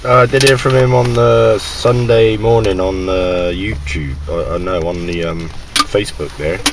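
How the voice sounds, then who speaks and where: Low-voiced man on amateur radio. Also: steady tone, unhurried, heavy background noise. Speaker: radio operator, video maker. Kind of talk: casual amateur radio chat, calling down a list.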